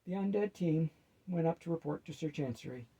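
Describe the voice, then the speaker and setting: A man's voice: a little high, brisk, mellow, breathy edge, low-quality recording. A man reading a fantasy story aloud as a narrator.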